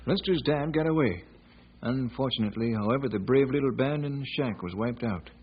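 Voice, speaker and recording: An actor playing a folksy westerner who tells local history in a deliberate storytelling way, in an old-time radio western. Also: male voice, medium pitch, breathy edge, close to an everyday voice. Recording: noisy, dull old radio recording.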